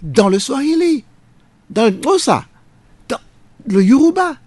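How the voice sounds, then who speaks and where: Passionate man, high lively voice. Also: measured pace, husky, dry recording. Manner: passionate, emphatic, preacherly cadence. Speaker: guest, jurist. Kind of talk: radio debate. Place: radio studio.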